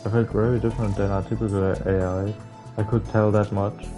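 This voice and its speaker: Low, flat-toned young man reading aloud. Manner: halting, stumbling reading with casual slangy interjections. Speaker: gaming streamer. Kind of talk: reading a visual novel aloud with casual asides. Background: faint game music.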